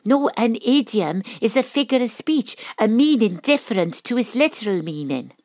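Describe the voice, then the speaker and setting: A woman's voice: fairly high pitch, animated, normal speed, darkish tone, no echo. A woman playing a plucky, self-important Welsh detective who narrates her case in an audio drama, with dry, arch delivery. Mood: patient.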